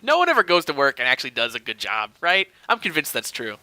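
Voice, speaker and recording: A man co-hosting a casual comedy podcast, telling stories in an animated, chuckling way. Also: on the high side, quick pace, no room sound. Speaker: podcast co-host. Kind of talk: casual comedic storytelling chat.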